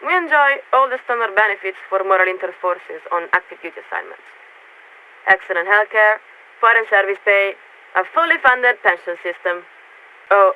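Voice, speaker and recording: A woman playing a polite, guarded young warship radio signaler in a video game, speaking in formal, measured official diction through a radio filter. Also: mid-range voice, bright, forceful tone. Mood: composed, earnest. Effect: radio transmission filter. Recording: tinny narrowband radio with static noise.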